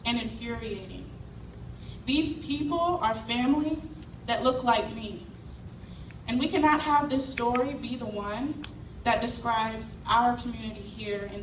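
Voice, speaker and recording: A woman from Cincinnati giving an earnest, measured prepared speech as a community organizer. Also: a little high. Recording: echoey hall, far mic, noisy.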